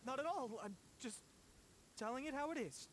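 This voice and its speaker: Jumpy, wide-ranging cowardly bandit. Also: male voice, very high-pitched, animated, normal speed, background noise. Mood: nervous, sheepish. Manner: nervous, hesitant, stammering, pleading. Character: cowardly, hapless bandit, bluster turning to pleading. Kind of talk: English video game voice acting.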